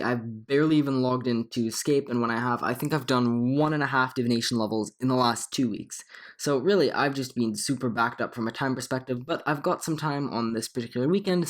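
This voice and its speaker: Slightly hoarse young man rambling. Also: medium pitch. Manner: rambling, frequent ums and restarts. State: hoarse from a cold. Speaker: gaming channel host. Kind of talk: casual gameplay commentary.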